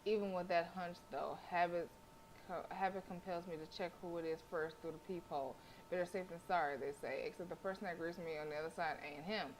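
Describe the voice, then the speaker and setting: Female streamer, lowish register, dramatic visual novel read-aloud with casual self-correcting asides, slightly noisy home recording. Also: steady tone, brisk. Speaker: livestream host reading a visual novel aloud.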